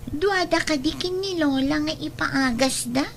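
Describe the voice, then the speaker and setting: A woman's voice: high voice, measured pace, a little hiss or hum. A woman playing an inquisitive little girl, a younger sister with a childlike way of speaking and an Ilocano accent, in an audio drama. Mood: wondering.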